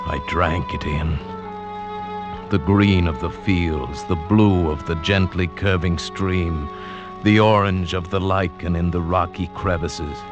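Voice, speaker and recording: A slow-spoken, wistful traveller. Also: male voice, sits low, lo-fi sound. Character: weary young traveller going blind, awed by beauty. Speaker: actor and narrator in a radio drama. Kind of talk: 1940s radio acting.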